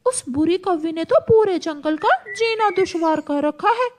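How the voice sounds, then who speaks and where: A woman's voice: very high-pitched, lively intonation, measured pace, darkish tone. A woman voicing an indignant, emphatic parrot in a children's cartoon story. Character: loud indignant parrot, bird friend.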